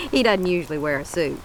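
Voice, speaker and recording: A woman's voice: on the low side, a little hiss or hum, rough recording. An actress playing a folksy, motherly, plain-spoken older woman in a radio drama. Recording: steady rain behind the voice.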